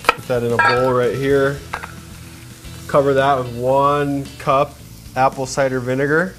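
A man in his thirties hosting a cooking demonstration in a studio kitchen, chatty and energetic, talking straight to the viewers. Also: medium pitch, measured pace. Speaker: cooking show host. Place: home-style studio kitchen, indoors. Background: background music, sizzling pan.

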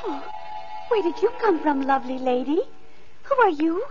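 A woman's voice: high-pitched, expressive, normal speed, music in the background. A woman playing a poor, lonely young girl in an old radio play, pleading and sweet, on a dull lo-fi recording. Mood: earnest. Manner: pleading, tearful. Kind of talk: 1950s radio acting.

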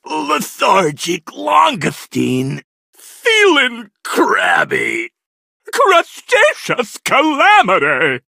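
Video game lobster hero: manic crusader, theatrical delivery, wildly swooping pitch, gritty rasp. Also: male voice, huge pitch swings, very slow, pushed and bright, dry recording, clean studio sound, exaggerated voice. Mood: bombastic battle-ready. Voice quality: raspy, gravelly. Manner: theatrical, bellowed battle cries. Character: zany male lobster warrior, bombastic self-styled crustacean crusader. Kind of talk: video game character voice lines.

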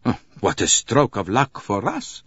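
A man's voice: sits low, sing-song, moderate pace, breathy edge, no room sound, muffled recording, heavily acted. A man voicing an earnest, courteous doctor in an audiobook, eager and precise in a formal way. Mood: excited. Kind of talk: audiobook character voice.

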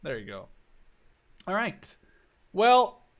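A young man in his twenties with a slightly high voice hosts a gaming video. He talks casually and thinks aloud, with an excitable manner. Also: slow pace. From Canada or the Netherlands. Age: 20s. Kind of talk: casual let's play commentary.

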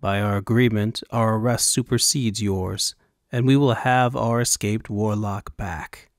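A man's voice: on the low side, fairly even intonation, measured pace, dry recording, studio quality. A man voicing an imperious witch hunter in an audiobook, with a cold, menacing drawl. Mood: cold menace.

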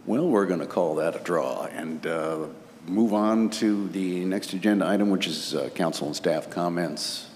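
A man's voice: low-pitched, normal speed, darkish tone. A man with an American accent informally chairing a public meeting. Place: large wood-panelled meeting hall. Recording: echoey hall with background noise.

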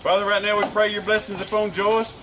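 A man's voice: high voice, little pitch movement, fast, background noise. A man leading an outdoor baptism and praying fervently over the people he baptizes. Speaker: preacher baptizing. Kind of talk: baptism prayer.

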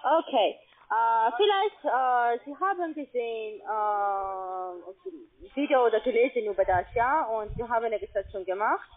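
Halting foreign-accented woman on tinny phone. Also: a little high. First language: not German. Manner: halting, ends phrases on questioning tags. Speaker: call centre agent. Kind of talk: telephone sales pitch. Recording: tinny narrow phone line.

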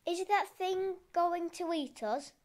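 A woman's voice: extremely high pitch, slow, a little mellow, voice pushed up. A woman doing a timid little fish. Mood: nervous. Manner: timid, earnest. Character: small nervous young fish far from home.

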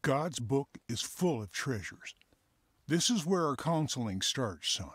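A man's voice: mid-range voice, sing-song, unhurried, fairly bright tone, breathy edge, no room sound, exaggerated voice. Fatherly counselor, earnest, preachy, dry close sound. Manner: earnest, teaching. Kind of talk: radio drama acting.

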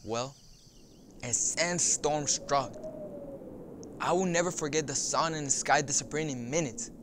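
A young man acting as a farmworker's son, recalling childhood in an earnest, measured monologue. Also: on the high side, background noise, close to an everyday voice. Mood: earnest reminiscence. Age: young adult. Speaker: actor in an oral history dramatization. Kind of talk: dramatized first-person monologue.

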